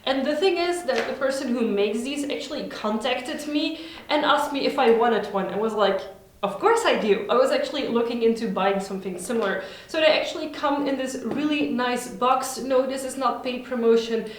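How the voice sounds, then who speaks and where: Woman, native Dutch speaker, slightly high voice, upbeat, heavy room echo. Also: normal speed, a little hiss or hum. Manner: upbeat, chatty, enthusiastic. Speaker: vlogger. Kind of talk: casual recommendations vlog. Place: home room. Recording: very echoey room.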